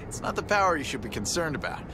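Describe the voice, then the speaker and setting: An actor playing a young, wisecracking superhero in a video game, boyish, cocky yet earnest. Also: male voice, fairly high pitch, fast, noisy background. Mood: wry, teasing. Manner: quippy, boyish, expressive. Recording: loud music behind the voice.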